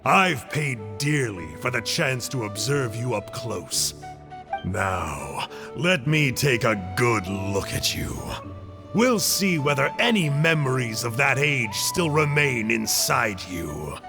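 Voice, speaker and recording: A measured, mocking agent of a dark order, speaking slowly with wide swings in pitch. He lectures as if reading from history. Faint game music plays beneath. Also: sing-song, gravelly, exaggerated voice. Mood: lecturing. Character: calm scholarly male cult agent, mocking and cold.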